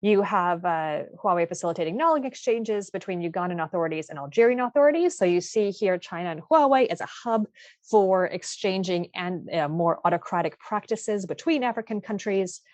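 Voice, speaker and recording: A woman giving a panel presentation, talking haltingly with many fillers and restarts. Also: lowish voice, expressive, darkish tone, no room sound.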